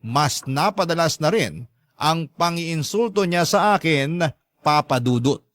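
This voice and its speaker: A man's voice: fairly high pitch, lively intonation, a little slow, fairly bright tone, no echo. A man reading a scripted first-person story as a dramatic narrator.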